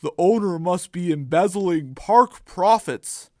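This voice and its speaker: An earnest male cartoon superhero, as a narrator puts him on in a radio play. He speaks slowly and solemnly, with righteous swells of pitch. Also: expressive, mellow, no room sound. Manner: solemn, declarative.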